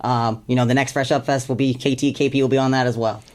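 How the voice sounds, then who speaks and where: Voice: medium pitch, level tone, fast, darkish tone. A music artist from Canada with a Canadian accent, chatting casually in an interview in an earnest, talkative way. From Hamilton, Canada. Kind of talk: casual chat.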